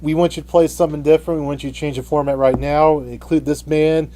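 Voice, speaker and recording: A man hosting an unscripted radio debate, speaking haltingly and often restarting his phrases. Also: fairly high pitch, steady tone, warm tone, a little hiss or hum. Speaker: radio show host.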